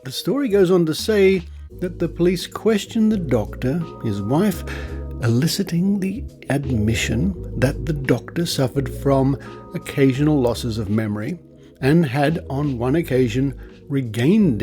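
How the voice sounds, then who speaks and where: Male podcast presenter, Australian accent, mid-pitched, measured and emphatic narration, music underneath. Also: lively intonation, warm tone. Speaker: podcast host. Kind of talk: scripted podcast narration with asides. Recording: music behind the voice.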